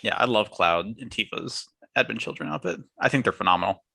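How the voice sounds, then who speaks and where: A young man with a lowish voice that comes across bright and sharp. He chats casually, like one co-host among friends on a podcast. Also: expressive, fairly quick, gravelly. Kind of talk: casual chat.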